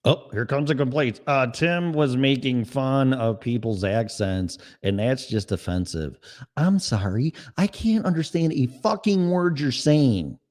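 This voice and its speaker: A man's voice: medium pitch, expressive, measured pace, darkish tone. A man with a Chicago accent hosting a solo podcast, ranting in a blunt, sarcastic and profane way. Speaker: podcast host.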